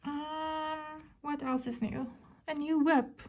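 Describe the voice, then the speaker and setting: A woman's voice: high voice, steady tone, slow, a little mellow. A woman from South Africa with a South African accent, a native Afrikaans speaker, chatting casually while she works on a craft and often dropping to a whisper as she counts. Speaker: crafting vlogger.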